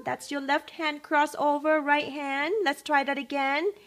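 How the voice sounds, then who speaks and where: A woman teaching a keyboard and singing lesson, giving slow, patient instructions and singing solfege syllables and song phrases. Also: high voice, little pitch movement, fairly bright tone. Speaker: music teacher. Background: keyboard playing.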